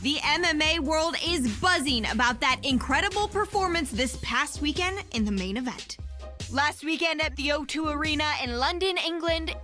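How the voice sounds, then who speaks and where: Bright, high-pitched woman presenting sports news. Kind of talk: scripted upbeat news update. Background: music.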